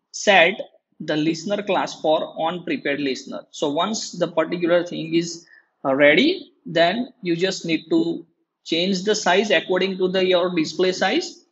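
A male lecturer walks through a tutorial in a halting, repetitive way. His voice sits high and sounds husky. The recording is dull and lo-fi. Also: fairly bright tone. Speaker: programming lecturer. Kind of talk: screen-recorded tutorial explanation.

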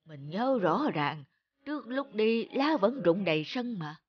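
Kind, plaintive old village granny. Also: female voice, mid-range voice, big pitch swings, measured pace, dull audio. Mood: wondering, moved. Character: kind, lonely, poor old village woman. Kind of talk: animated folk tale acting. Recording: music under the voice.

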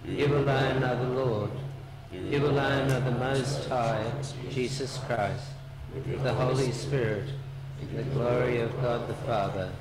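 A man leading a church service, reciting prayers in a slow, measured way. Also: medium pitch, steady tone, a loud crowd. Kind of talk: liturgical prayer and announcements. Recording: echoey church with background noise.

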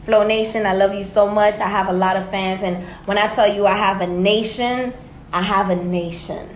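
A young woman from Haiti talks casually as an interview guest, laid-back and tired, often breaking into laughter. Her voice sits at a middle pitch. The studio mic picks up a roomy echo and a faint hiss. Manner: relaxed, laughs often, drawn-out phrasing. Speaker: guest rapper and influencer. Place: small studio with brick wall. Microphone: studio mic on boom, wearing headphones.

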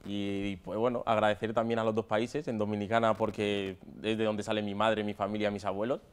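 Young male footballer, twenty, Madrid accent, smooth voice with fairly flat intonation, chatting in an interview. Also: lowish voice, brisk. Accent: Madrid Spanish. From Spain, Madrid area. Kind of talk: casual interview answers. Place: stadium dugout with plastic seats.

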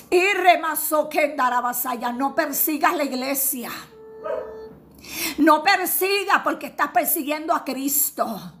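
A woman's voice: sits high, pushed and bright. A woman preaching a fervent prophetic Pentecostal sermon, exhorting emphatically and repeating her phrases, with bursts of speaking in tongues.

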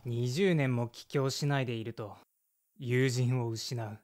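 A man's voice: medium pitch, steady tone, unhurried, noisy background, close to an everyday voice. Man acting as blunt man in his thirties, awkward, brooding, casual speech, audio drama narration. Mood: reflective. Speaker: lead character and narrator. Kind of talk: audio drama dialogue and first-person narration.

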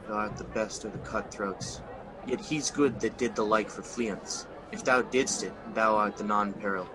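A man's voice: on the low side, moderate pace, noisy background, close to an everyday voice. A man playing a guilt-haunted king in a radio drama, speaking in a theatrical manner. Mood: cold. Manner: theatrical, swings from host to horror. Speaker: actor.

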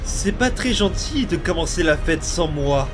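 High male voice, brooding demon boy man acting, bitter, menacing, dramatic dub, music underneath. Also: expressive, a little slow. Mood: cold menace.

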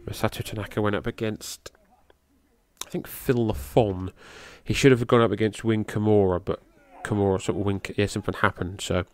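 A middle-aged man with a slightly low voice calls a wrestling match in loose, rambling podcast commentary. He talks casually and swears here and there. He rises in pitch and volume when the big moves land. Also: moderate pace, no echo. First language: English. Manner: loose, rambling, swears casually, gets excited at high spots.

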